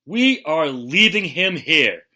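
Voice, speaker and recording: Stern, forceful storybook father, acted by a man. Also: on the high side, big pitch swings, slow, pitched above the performer's own voice, exaggerated voice. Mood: angry bellowing. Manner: emphatic, scolding, bellowing. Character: stern, exasperated father scolding the family cat.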